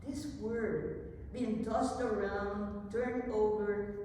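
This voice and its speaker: A woman recites as a young enslaved woman, anxious and hopeful for freedom, in a dramatic poetry reading. Her voice is soft-toned and very unhurried, carried through long hall reverb. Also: medium pitch, steady tone, slight background noise. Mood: anxious, pondering. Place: large indoor hall at a live event. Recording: very echoey hall from far off.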